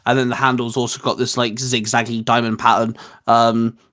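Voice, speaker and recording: A man's voice: medium pitch, level tone, normal speed, fairly bright tone. Chatty man hosting a fan video. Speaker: host of a fan video channel. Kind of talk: casual chatty video review.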